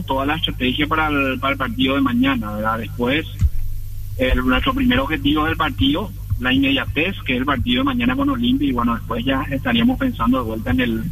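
Gravelly, hesitant club official. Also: male voice, very high voice, a bit bright, slight background noise, low-quality recording. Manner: hesitant, many fillers. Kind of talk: radio phone interview.

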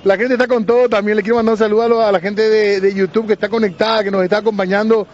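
A man's voice: high-pitched, little pitch movement, rapid-fire, a little mellow. A man talking on a casual sports stream, upbeat and agreeable. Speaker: sports panelist. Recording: muffled stream audio, faint noise.

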